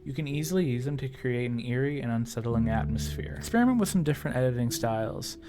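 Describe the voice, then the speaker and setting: A man's voice: mid-range voice, expressive, fast talker, music in the background. A man hosting a video, narrating scripted tips in a tutorial style. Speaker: video host giving tips.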